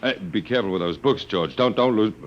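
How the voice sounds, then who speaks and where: An actor playing a wry, resigned captive in a radio drama, with a sardonic, hesitant way of talking. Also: male voice, fairly low pitch, fast talker, a little hiss or hum, close to an everyday voice. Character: wry, resigned captive man, last on Earth. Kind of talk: 1950s radio drama acting. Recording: dull vintage radio recording.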